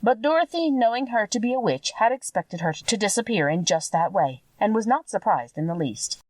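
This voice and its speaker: Expressive woman storyteller, very lively intonation. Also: medium pitch, fairly quick, no room sound, recorded from a distance, rough recording. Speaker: audiobook reader. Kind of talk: expressive scripted storybook narration.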